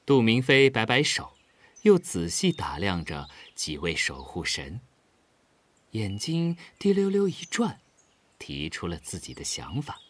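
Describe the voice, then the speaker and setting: Highly animated male storyteller. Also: measured pace, slight background noise. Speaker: story narrator. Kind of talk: expressive scripted children's story narration.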